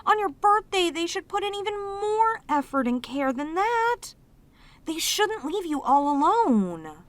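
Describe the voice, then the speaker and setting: A woman's voice: very high-pitched, sing-song, unhurried, dry recording, exaggerated voice. A woman voicing a lonely, sweet, possessive demoness in an intimate roleplay audio drama, speaking tenderly and hesitantly to the listener. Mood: indignant, protective. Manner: tender, hesitant, slightly stammering, clingy, emotive. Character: lonely, sweet, possessive female demon, sealed away, obsessively devoted.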